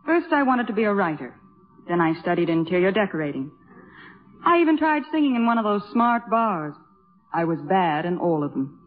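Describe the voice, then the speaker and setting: A woman's voice: mid-range voice, big pitch swings, normal speed. An actress playing a cool, sophisticated young woman who is articulate, probing and analytical in a 1950s radio drama. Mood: self-possessed, candid. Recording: muffled 1950s radio with music behind.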